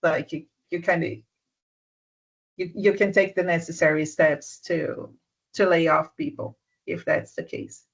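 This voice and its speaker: A mentor talks through workplace advice on a video call, gently and with frequent restarts. The voice sits in a middle pitch, and the call audio comes through a little dull and muffled. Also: steady tone, a little slow, vocal fry. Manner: soft-spoken, restarts often, checks understanding. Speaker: business mentor. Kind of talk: advice in a live online session.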